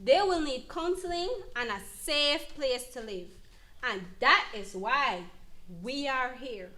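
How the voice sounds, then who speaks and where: A woman's voice: high voice, expressive, slow, bright, forceful tone, exaggerated voice. A woman playing an earnest, emphatic advocate who counsels an abused mother in an educational dramatization. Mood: earnest, firm. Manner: emphatic, instructive. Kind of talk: educational dramatization, deliberate explanatory delivery.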